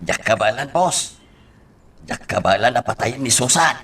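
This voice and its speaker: A very slow, creaky, raspy voice of a reluctant henchman acted by a man. He is nervous and deferential in radio drama style, heard at some distance from the mic. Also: mid-range voice, lively intonation, fairly bright tone, heavily acted. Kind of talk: Ilocano radio drama acting.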